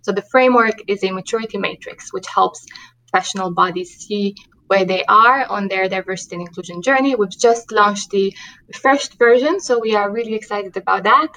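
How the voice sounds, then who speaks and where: A woman's voice: mid-range voice, a little fast, a bit bright, poor audio. A woman in her thirties from Romania with a Romanian accent, a guest on a podcast who talks earnestly about her work with many ums and restarts. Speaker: diversity and inclusion manager, guest. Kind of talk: podcast interview.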